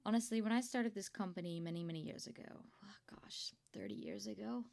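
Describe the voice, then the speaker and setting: A woman playing a kindly, proud older company founder in an ASMR roleplay, chatty and doting, with a creaky voice. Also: medium pitch, fairly quick, natural voice. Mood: proud, reflective. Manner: gentle, chatty, doting, with throat-clearing and rambling asides. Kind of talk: ASMR roleplay monologue to the listener.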